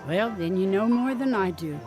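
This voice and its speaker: A woman's voice: medium pitch, animated, normal speed, music in the background, close to an everyday voice. A woman voicing an older farm mother who speaks in a dry, blunt way.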